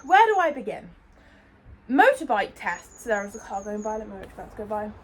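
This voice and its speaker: A woman's voice: mid-range voice, sing-song, fairly quick, breathy edge. A young British woman, about nineteen, ranting indignantly into her phone outdoors by a road. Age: young adult. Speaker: vlogger. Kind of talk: ranting vlog. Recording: phone mic outdoors, cars passing.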